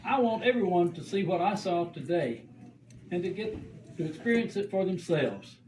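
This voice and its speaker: An excitable puppet character acted by a performer in a children's church show. The character spins dramatic, exaggerated stories. The sound is distant and echoey, with faint noise behind it. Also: medium pitch, normal speed, lightly acted. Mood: upbeat. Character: excitable puppet named Rocky, adventurous, prone to exaggerate. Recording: roomy, distant mic, faint background noise.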